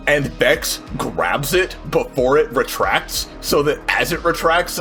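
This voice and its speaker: A man's voice: mid-range voice, fairly bright tone. A man chatting informally as a player in a tabletop game, swearing and repeating himself as he reasons aloud. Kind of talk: casual game-table chat.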